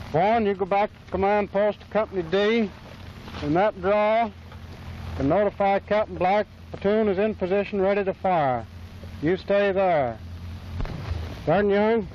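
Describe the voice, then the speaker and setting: A man playing a brisk army platoon leader, giving clipped, rehearsed orders in a 1930s training film. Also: sits high, lightly acted. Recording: dull, hissy 1930s outdoor film sound.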